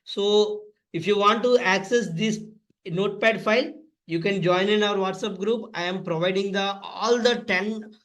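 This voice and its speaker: A man's voice: high-pitched, moderate pace, a bit bright, poor audio. A man instructing in a step-by-step coding tutorial, often repeating and spelling out terms.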